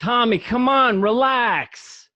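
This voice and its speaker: A man's voice: very high voice, takes it slowly, sharp tone, no room sound, exaggerated voice. A man acting an anxious, placating gangster who keeps the peace, in an amateur radio play. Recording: dull lo-fi home recording.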